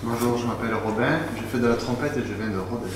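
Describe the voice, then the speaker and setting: A man's voice: medium pitch, fast. A man, a trumpeter in a music workshop, speaking plainly and a little hesitantly. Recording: echoey room, distant mic, loud background noise.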